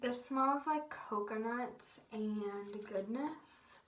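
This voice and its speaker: Young woman vlogger, soft higher-pitched voice. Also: extremely slow, a little hiss or hum. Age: teens to young adult. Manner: gushing, peppered with "like". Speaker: beauty vlogger. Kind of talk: casual chatty vlog to viewers.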